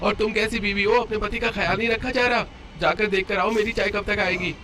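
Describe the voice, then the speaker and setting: A man's voice: sits high, fairly even intonation, fairly quick, bright, forceful tone. A man voicing an arrogant, short-tempered, sarcastic son-in-law who whines and complains in a cartoon story. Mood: irritated, demanding. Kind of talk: Hindi cartoon story dialogue. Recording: clear background noise under voice.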